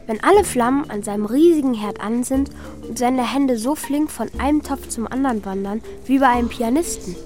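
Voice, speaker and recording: A woman's voice: a little high, fairly quick, music in the background, lightly acted. A woman playing a pensive, wistful child who narrates and speaks plainly in a children's radio play. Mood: matter-of-fact. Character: Mika, pensive child missing a parent at Christmas. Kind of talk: radio play first-person narration and dialogue.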